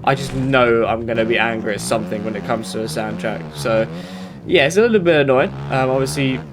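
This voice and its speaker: A man's voice: medium pitch, fairly quick. A British man with a blocked nose from hay fever, casually rambling through gameplay commentary with lots of fillers and self-corrections. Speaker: gaming YouTuber. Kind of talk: casual commentary while playing. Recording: game engine noise behind. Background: motorbike game engine sounds.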